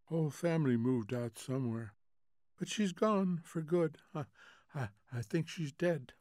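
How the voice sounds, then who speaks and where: A drowsy, forgetful wife from a storybook, performed by a man reader. Her voice is soft and faint, drifting slowly through hesitant repetitions with a detached air. The recording is dry. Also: mid-range voice, lively intonation, no echo. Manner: hesitant, faltering, trailing repetitions.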